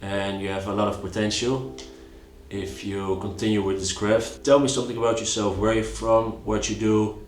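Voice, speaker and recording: A man's voice: lowish voice, level tone, normal speed, echoey room, faint music behind. A man, a shoemaking teacher, interviewing his student in an encouraging, friendly way. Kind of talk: casual interview questions.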